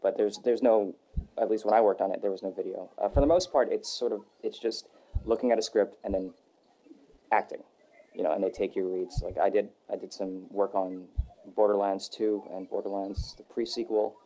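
A young adult man answering interview questions in a relaxed, rambling way, with many fillers and restarts. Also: fairly low pitch, moderate pace, rough recording. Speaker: voice actor guest. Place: Texas.

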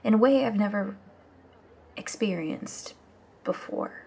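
A woman playing a vulnerable, earnest young woman in an ASMR audio drama, speaking softly and haltingly. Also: medium pitch, expressive, vocal fry, breathy edge, full character voice. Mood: tender, hesitant. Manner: hesitant, halting, soft.

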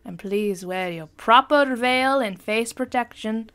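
A woman's voice: on the high side, unhurried, fairly bright tone, clean tone. A woman presenting the end of a show with a playful sign-off to viewers. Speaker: credits presenter and singer.

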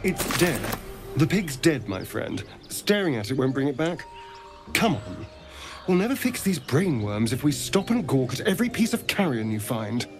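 A man's voice: medium pitch, very animated, moderate pace, over music. An actor playing a suave, sly vampire man in a video game, with theatrical, dramatic delivery and an undercurrent of need. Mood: dismissive, flippant. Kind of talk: video game character acting.

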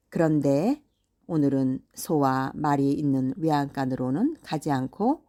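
A woman storyteller narrating a scripted bedtime folktale in a measured, deliberate way. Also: low-pitched, dark tone.

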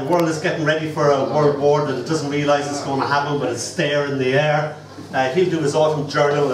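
An older man with an Irish accent, hosting a poetry open mic with theatrical, rambling storytelling. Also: on the high side. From Ireland. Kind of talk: live storytelling and poetry performance. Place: small indoor venue stage. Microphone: far camera. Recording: roomy, noisy, distant camera recording.

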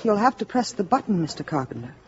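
An actress with an American accent playing a sensible, protective young mother in a 1950s radio drama, gently skeptical. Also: medium pitch, very animated, quick pace, background noise, lightly acted. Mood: guarded, brisk. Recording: muffled old radio recording, busy background.

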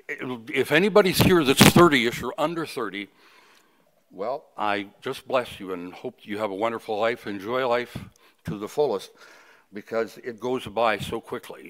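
A man of sixty-nine from Canada delivering a funeral sermon at a lectern, reflective and halting, with personal reminiscence. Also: lowish voice, a bit bright, rough recording. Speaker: funeral officiant, preacher. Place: indoors at a funeral home, at a wooden lectern. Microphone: lectern microphone.

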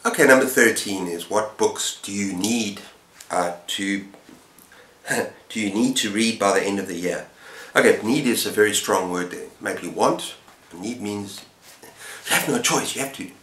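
Low-voiced middle-aged man, roomy sound. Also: measured pace, a bit bright. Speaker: book vlogger, channel host. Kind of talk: casual chat to camera. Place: book-lined room at home, indoors. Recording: roomy, echoing indoor sound.

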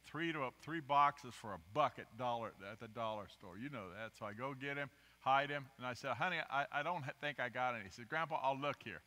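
An older man preaching a rambling, self-deprecating storytelling sermon at a podium microphone in a church sanctuary, swinging between loud emphasis and quiet asides. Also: medium pitch. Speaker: guest preacher. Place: church sanctuary, large indoor room. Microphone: podium microphone, filmed from the pews. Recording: reverberant church hall.

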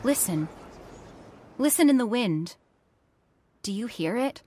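An actress playing an idealistic young factory heiress in a radio horror play, urgent and pleading in a theatrical way. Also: female voice, on the high side, animated, takes it slowly, mellow, slight background noise. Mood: tense, subdued. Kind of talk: old-time radio horror acting.

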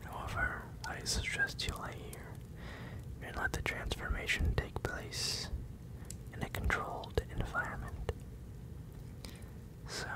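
A performer voicing a calm, commanding male werewolf in a whispered ASMR roleplay, with deliberate, formal phrasing. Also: extremely high pitch, exaggerated voice, rough recording. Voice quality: breathy whisper.

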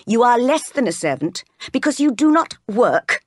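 A woman's voice: mid-range voice, expressive, a little slow, no echo, clean studio sound, close to an everyday voice. Stern maidservant, played by a woman narrator: pious, reproachful, scolding, for a storybook. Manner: reproachful, moralizing.

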